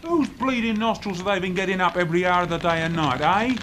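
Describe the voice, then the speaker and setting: A man's voice: high-pitched, fast, close to an everyday voice. A male actor with a British accent playing a sneering, bullying lout who taunts in slangy tag questions in a radio drama. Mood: contemptuous, jeering. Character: sneering, bullying, hard-drinking male lout, cruelly mocking. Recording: noisy old radio drama recording.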